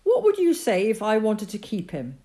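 A woman's voice: lowish voice, big pitch swings, moderate pace, darkish tone. A woman voicing an earnest fourteen-year-old farm boy, eager and pleading, in a storybook reading.

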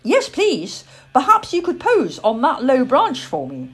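A woman voicing a sweet, kindly young lady in a children's story, speaking gently and politely. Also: sits high, wildly swooping pitch, measured pace, breathy edge, voice pushed up, exaggerated voice. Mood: friendly.

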